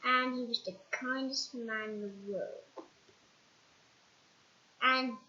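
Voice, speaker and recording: A child reading a storybook aloud in a halting, dramatic way, repeating words. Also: mid-range voice, steady tone, bright, forceful tone. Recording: dull, echoey home recording.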